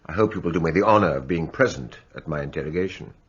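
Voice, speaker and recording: A formal, probing magistrate. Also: male voice, extremely low pitch, fast, slightly rough, full character voice. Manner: formal, probing, insistent questioning. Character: formal examining magistrate, middle-aged man. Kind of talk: radio drama acting. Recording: dull studio audio play.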